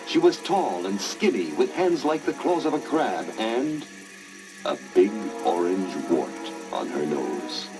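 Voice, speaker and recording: A man narrating a children's story in a dramatic, expressive, theatrical style. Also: fairly low pitch, slow pace, low-quality recording. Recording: old story record, orchestral music behind.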